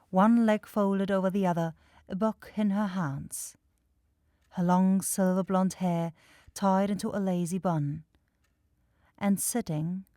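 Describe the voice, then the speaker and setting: A woman's voice: lowish voice, a little mellow, no room sound. A woman reading a scripted story as the narrator of an ASMR roleplay, close to the microphone.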